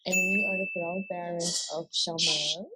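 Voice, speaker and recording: A woman's voice: on the low side, steady tone, a little slow, heavy background noise. A woman in her twenties from Suriname with a Surinamese Dutch accent, chatting openly as a vlogger and filling her talk with "like". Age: 20s. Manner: chatty, open, often says "like". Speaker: vlogger co-host. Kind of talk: casual chat.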